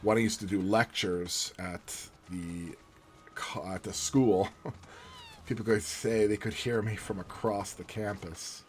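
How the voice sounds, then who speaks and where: A man, a philosophy professor, giving relaxed, casual commentary while playing a video game, with a booming voice and joking asides. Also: slow, a little hiss or hum. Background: game music and sounds.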